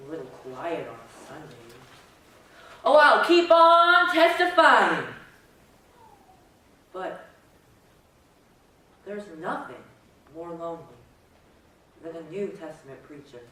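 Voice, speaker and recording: A woman's voice: lowish voice, very animated, slow pace, long pauses, sharp tone, heavily acted. A woman playing an earnest, lonely small-town preacher with rhetorical fervor on stage. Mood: earnest, rueful. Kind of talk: readers theater monologue. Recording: very echoey hall, distant, faint noise.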